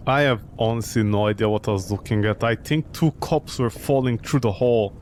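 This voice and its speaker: Man reading as a calm, measured private investigator. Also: on the low side, slight background noise, voice pushed down, natural voice. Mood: calm, probing.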